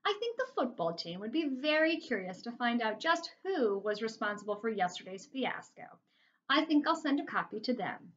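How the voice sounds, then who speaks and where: A woman voicing a gloating school principal from a storybook, smug and mocking. The voice sits raised and pushes louder than a plain reading. The recording is dull and lo-fi. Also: on the high side, big pitch swings, pitched above the performer's own voice. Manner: mocking, gloating, drawn-out delivery.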